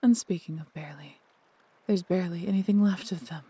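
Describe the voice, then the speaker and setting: A woman playing a proud, tender female dragon in a fantasy audio roleplay, with a breathy, theatrical, measured delivery. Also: lowish voice, dark tone, no room sound. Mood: smug, affectionate. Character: proud, doting female dragon, adventurous and protective.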